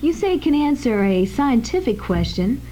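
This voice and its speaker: Lady playing nosy reporter in a radio play, highish lively voice, direct and persistent. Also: moderate pace, slight background noise. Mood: curious, pressing. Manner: questioning, direct. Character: inquisitive, persistent female newspaper reporter. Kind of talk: amateur radio drama.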